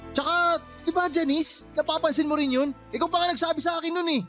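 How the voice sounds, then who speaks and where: A man's voice: extremely high pitch, fairly even intonation, quick pace, fairly bright tone, soft background music. A man with a Filipino accent playing a lecherous, lying, blustering stepfather in a radio drama. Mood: smug, accusing. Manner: leering, wheedling, blustering.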